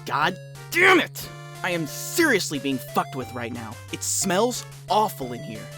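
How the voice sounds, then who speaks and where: A woman's voice: low voice, wildly swooping pitch, measured pace, pushed and bright, soft background music, full character voice. A woman voicing an irritable, foul-mouthed young male troll in a comic fan dub, abrasive and exasperated. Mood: exasperated ranting.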